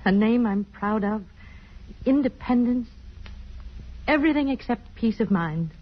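A husky-voiced, earnest woman in a romantic radio melodrama of the 1950s. She is troubled and pleads haltingly. The old broadcast sounds muffled. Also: a little high, animated. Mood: troubled, hesitant. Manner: hesitant, pleading. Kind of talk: 1950s radio drama acting.

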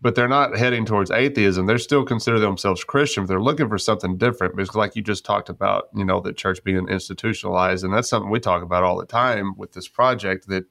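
An American man with a fairly low voice hosts a podcast in a casual, folksy conversational style. The sound is dry and close, with very little room. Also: fast talker, gravelly, hardly any pauses, a bit bright, dry recording. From United States. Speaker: podcast host.

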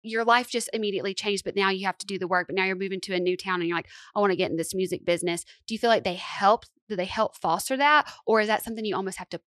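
A woman's voice: mid-range voice, very fast, hardly any pauses, fairly bright tone, dry recording, studio quality. A young woman hosting a podcast, asking long, rambling questions with eager enthusiasm. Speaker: podcast host. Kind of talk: casual interview chat.

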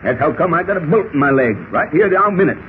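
An actor playing a folksy, talkative, excitable cowboy with an American Western drawl and colorful slang in a 1940s radio style. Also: male voice, a little high, quick pace, close to an everyday voice. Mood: rambling, explanatory. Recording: tinny, noisy old radio recording.

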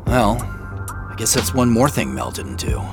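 Guarded American guy, lively inflection. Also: lowish voice, moderate pace, gravelly, over music. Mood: muttering. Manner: hesitant, casual, guarded. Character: guarded, puzzled ordinary man questioned by police. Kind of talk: naturalistic audio drama dialogue. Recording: faint noise behind the voice.

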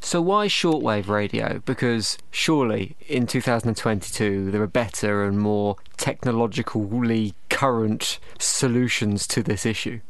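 A man's voice: fairly low pitch, normal speed, hardly any pauses, no room sound. British man, podcast presenter. Clean, resonant voice, conversational interviewing and hosting style.

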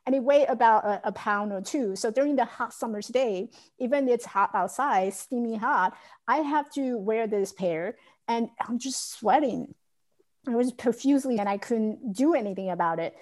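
A woman's voice: on the high side, moderate pace, a little mellow. A woman from Taiwan telling her life story as an interview guest, earnest and candid. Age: adult. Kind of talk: personal story in a podcast interview.

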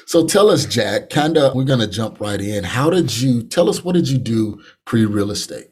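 A man hosts a casual interview podcast. He greets and prompts his guest in a relaxed, friendly way, often repeating words. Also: medium pitch, normal speed, breathy edge. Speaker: podcast host.

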